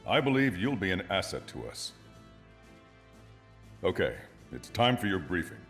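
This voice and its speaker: An actor playing a composed, authoritative air force officer giving a formal, measured briefing in a video game. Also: male voice, low voice, big pitch swings, fairly bright tone, faint music behind. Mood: composed, businesslike.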